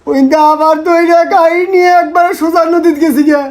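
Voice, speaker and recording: Woman acting a high-voiced whining Bangladeshi village swindler. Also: brisk, natural voice. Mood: tearful whining. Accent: rural Bangladeshi. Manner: pleading, melodramatic. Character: sly village swindler man, whining and wheedling. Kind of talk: comic skit acting.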